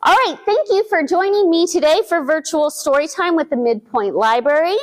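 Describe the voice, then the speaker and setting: A woman librarian leading a virtual storytime for small children, talking in an animated, sing-song way. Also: extremely high pitch, moderate pace.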